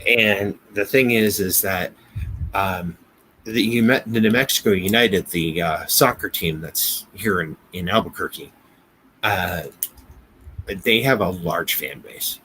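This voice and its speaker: A man with an American accent in a casual business discussion, speaking haltingly, often repeating words and restarting. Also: low-pitched, bright, forceful tone, low-quality recording. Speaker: business podcast co-host. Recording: noticeable background noise.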